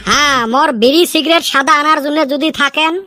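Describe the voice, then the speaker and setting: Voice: high voice, normal speed, music in the background, close to an everyday voice. An actor playing a boastful cartoon village man who dreams of riches, speaking in a drawling northern Bengal rural dialect. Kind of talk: comic cartoon dialogue.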